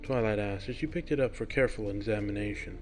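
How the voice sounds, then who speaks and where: A man's voice: fairly low pitch, fast talker, darkish tone. A man narrating a scripted fiction story as its reader. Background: music.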